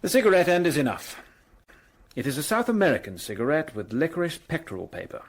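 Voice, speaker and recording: An actor playing an arrogant, dismissive police detective in a radio drama, with a curt, pompous, lecturing way of talking. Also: male voice, on the high side, very animated, fast talker. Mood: smug, dismissive.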